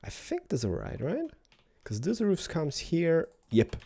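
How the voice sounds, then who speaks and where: Casual male build host with a cold, lively swooping voice, mellow tone, playful self-mocking chatter. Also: a little high, unhurried, vocal fry, slightly rough. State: has a cold.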